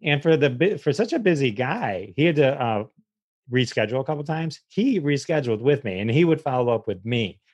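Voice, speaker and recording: A man's voice: medium pitch, expressive, fairly quick, a little mellow, husky, no echo, muffled recording. An American man chatting casually as an interview guest, a little hesitant and prone to repeating himself. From Michigan, United States. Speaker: comedian and podcaster, guest.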